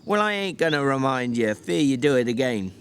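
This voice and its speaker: A man voicing a small, irritable, bossy drifter in a story reading, scolding in sharp rustic slang, exasperated but protective. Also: medium pitch, very animated, brisk, a little hiss or hum. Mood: bossy, instructing.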